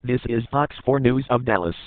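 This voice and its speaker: A man's voice: mid-range voice, a little fast, dry recording. Phone-like male emergency announcer. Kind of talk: scripted emergency broadcast announcement. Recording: narrow phone-like band, dry.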